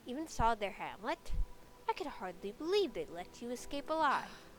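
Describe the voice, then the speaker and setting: A woman's voice: a little high, sing-song, normal speed, a little hiss or hum. A woman voicing an anxious, dramatic castle dweller in a fantasy game, speaking in an emphatic, fretful way. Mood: astonished.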